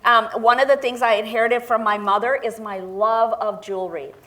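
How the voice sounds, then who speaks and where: A woman's voice: a little high, steady tone, normal speed, pushed and bright. Wry, conversational woman author. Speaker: author on a panel. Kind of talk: casual panel talk answering audience questions.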